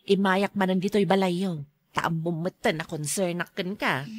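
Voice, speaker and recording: A woman's voice: on the low side, fairly even intonation, normal speed, no echo, natural voice. A woman acting in an Ilocano radio drama as a gossipy, meddling friend who poses as concerned, with an Ilocano Filipino accent. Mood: falsely concerned. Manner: prying, insinuating.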